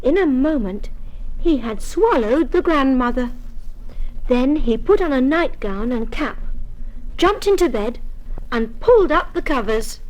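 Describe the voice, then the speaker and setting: A woman reading a children's storybook aloud in a gentle, deliberate way. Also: high voice, lively intonation, slight background noise, low-quality recording. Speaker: story reader. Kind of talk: scripted storybook narration for children.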